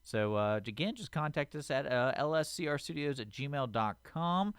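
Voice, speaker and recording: A man, casually reading community radio station announcements in a relaxed way, with fillers. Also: mid-range voice, moderate pace, clear, resonant tone, dry recording, clean studio sound.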